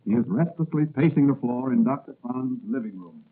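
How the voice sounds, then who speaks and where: A man's voice: medium pitch, expressive, fairly quick, breathy edge. A man narrating a scripted 1940s radio drama, setting the scene formally. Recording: muffled, faintly noisy vintage radio recording.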